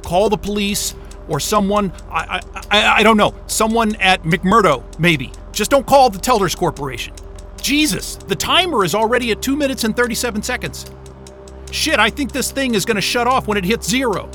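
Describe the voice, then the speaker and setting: A frazzled scientist trapped in a remote station. His voice sits high and has a sharp, bright edge. He swears and stammers his way along in naturalistic audio drama style. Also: lively intonation, normal speed, soft background music. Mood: panicked, frantic. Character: panicked, foul-mouthed astrophysicist trapped in a remote station.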